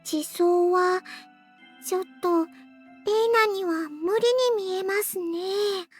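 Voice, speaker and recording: A woman voicing young anime girl characters in a visual novel, earnest and distressed, with a high girlish voice. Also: normal speed, soft background music. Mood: worried. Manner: pleading, distressed.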